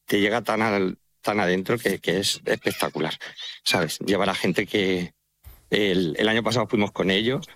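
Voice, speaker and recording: A man from central Spain with a Manchegan accent talks casually as an interview guest. His voice sits a bit low, and he speaks with enthusiasm in halting, repeated phrases. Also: a bit bright, no room sound. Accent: Manchegan Spanish. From Castilla-La Mancha, Spain. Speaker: interview guest, walking pilgrim guide. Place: outdoors, walking along a trail by an estuary.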